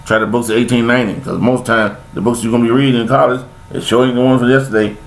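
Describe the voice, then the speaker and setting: A man's voice: medium pitch, steady tone, fast, vocal fry. An older man, talking in a rambling, preachy monologue, repeating himself with folksy sayings. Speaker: vlogger giving life commentary.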